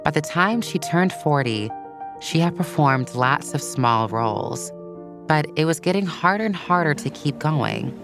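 A woman's voice: sits low, lively intonation, a little slow. A woman reading a scripted children's story as a narrator. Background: music.

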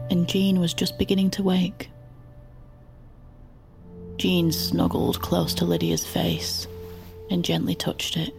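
Slow, even, hushed female storyteller. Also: low-pitched, gravelly, full character voice. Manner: hushed, deliberate. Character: calm, measured female storyteller of an intimate drama. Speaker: narrator. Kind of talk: scripted audio drama narration.

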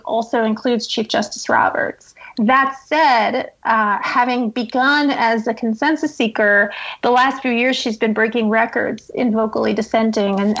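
A woman's voice: on the high side, gravelly, dull audio. A woman guest journalist giving conversational, analytical political commentary in an explanatory way.

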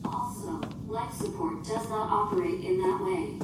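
A woman's voice: on the high side, very animated, a little slow. A woman playing a literal, formal ship computer in a science fiction audio drama, speaking in precise, emotionless phrasing. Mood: calm, formal. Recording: very echoey, distant, noisy ambience.

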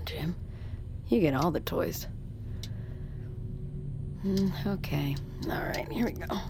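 An actress playing a curious, skeptical, informal submersible pilot in a naturalistic audio drama. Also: female voice, medium pitch. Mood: musing.

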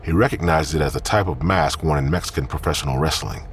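A man narrating scripted crime fiction in a grave, deliberate storytelling manner. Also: a bass voice, slight background noise.

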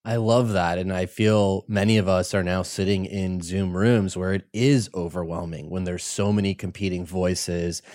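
A man hosting a podcast interview, speaking in a measured, reflective way. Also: deep voice, steady tone, darkish tone. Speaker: podcast host.

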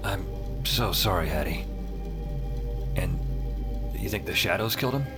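A man's voice: low-pitched, expressive, unhurried. A man acting a wry, slangy urban fantasy hero who narrates his own story in an audio drama. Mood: concerned, confiding. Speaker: lead actor and narrator. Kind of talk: audio fiction acting with first-person narration. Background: loud music and effects.

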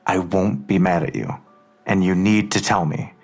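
An actor playing a worried, protective father in an audio drama, husky and earnest, reassuring but insistent. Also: sits low, moderate pace, soft background music.

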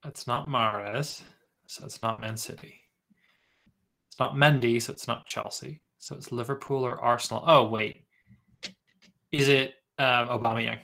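Gravelly-voiced man in a relaxed chat. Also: mid-range voice, unhurried, pauses often. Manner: relaxed, easygoing, thinks aloud. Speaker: podcast co-host. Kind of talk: casual podcast chat.

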